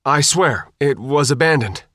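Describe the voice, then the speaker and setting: A man's voice: mid-range voice, a little slow, bright, forceful tone, no room sound, clean studio sound. A man voicing an earnest, formal young Marine lance corporal in an audiobook, with precise, barked military speech. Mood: anxious, defensive. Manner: barking, precise military address. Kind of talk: audiobook character dialogue.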